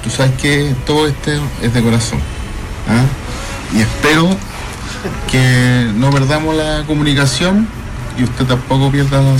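A man's voice: medium pitch, background noise. A man giving a friendly, joshing farewell message. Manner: matey, joshing.

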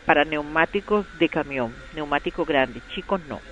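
A woman's voice: low-pitched, lively intonation, normal speed, a bit bright. A woman with a Colombian accent, a shop employee answering a call politely and formally. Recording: muffled phone line with faint noise.

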